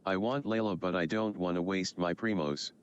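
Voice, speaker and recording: A man's voice: sits low, moderate pace, clear, resonant tone. A man chatting casually as he streams a game, recorded on a dull mic. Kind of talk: casual chat while gaming. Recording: dull mic with faint noise.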